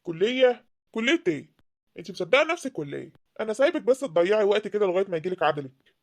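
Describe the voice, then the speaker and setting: Woman doing a bossy egyptian dad, harsh, talks super fast, middle pitch. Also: very animated. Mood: mocking. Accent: Egyptian Arabic. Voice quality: harsh, commanding, dismissive. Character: stern domineering Egyptian father, middle-aged man. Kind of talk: dramatized family scene.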